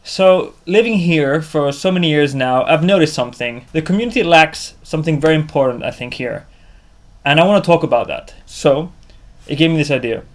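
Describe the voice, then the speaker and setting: A man's voice: on the high side, lively intonation, a little fast, a little hiss or hum. A man from Corfu, Greece, presenting a casual personal vlog in an informal storytelling way. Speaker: vlogger and presenter. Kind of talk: casual to-camera personal narration.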